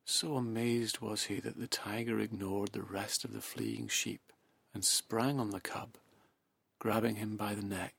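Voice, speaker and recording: A man guiding a hypnosis session, speaking softly and slowly in a half-whispered, soothing delivery. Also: lowish voice, flat delivery, vocal fry, husky, no room sound. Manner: soft, slow, half-whispered. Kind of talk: guided hypnosis narration.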